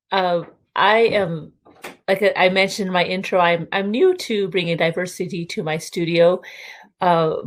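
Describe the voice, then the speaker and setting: A middle-aged woman, a music teacher on a panel, speaking in a measured, hesitant way with pauses and fillers. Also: fairly low pitch. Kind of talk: panel discussion.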